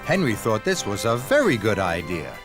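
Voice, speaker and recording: A man narrating a scripted children's story in an expressive, deliberate storytelling manner. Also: fairly low pitch. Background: music.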